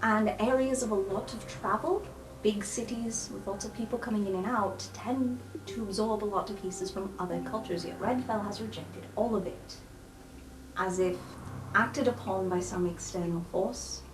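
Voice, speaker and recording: A woman's voice: medium pitch, normal speed, noisy background, natural voice. A woman voicing an earnest, inquisitive young tiefling student who asks probing questions in an informal, hesitant way. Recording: roomy sound with music behind. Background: music.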